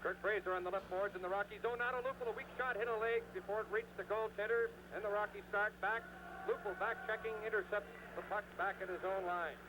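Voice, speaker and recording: A man giving live, excitable play-by-play hockey commentary in an old radio broadcast. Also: sits high, fast talker. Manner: excitable, rising on scoring chances. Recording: noisy vintage 1970s radio broadcast.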